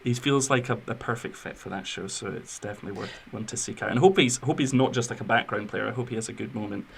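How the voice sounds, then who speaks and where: A man's voice: on the low side, fast talker, vocal fry, breathy edge. A man hosting a film podcast, moving between scripted biography and chatty, wry asides. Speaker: podcast host.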